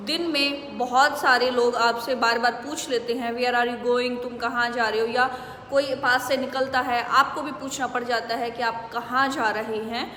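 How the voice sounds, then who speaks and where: A woman with an Indian accent teaching English phrases, saying the model answers slowly and clearly. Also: on the high side, little pitch movement, bright, forceful tone, slight background noise. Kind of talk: spoken English lesson in Hindi. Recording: echoey room with long reverb.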